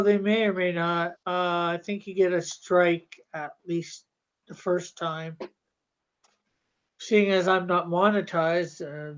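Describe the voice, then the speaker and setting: A man's voice: high-pitched, highs cut off. A man co-hosting a casual livestream, reading chat messages aloud and trailing off mid-word. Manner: chatty, laughs often, trails off mid-word.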